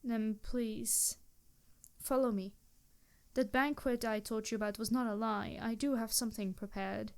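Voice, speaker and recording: A woman's voice: on the high side, fairly even intonation, measured pace. A woman playing a courteous, centuries-old female vampire in love, speaking formally and hesitantly in an intimate roleplay to the listener. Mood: gently inviting. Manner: formal, old-fashioned wording, hesitant, apologetic.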